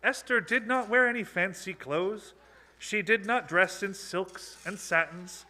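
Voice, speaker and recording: A man narrating a puppet show for children in simple, measured, theatrical phrases. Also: high voice, bright, forceful tone. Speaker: storyteller, narrator. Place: social hall, live broadcast.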